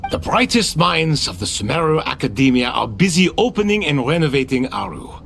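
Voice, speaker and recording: A sly old-world being with a slightly high, gravelly voice. He sounds wry and teasing, with lively swings in pitch, in video game dialogue. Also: a little fast, a little hiss or hum, exaggerated voice. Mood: wry, curious.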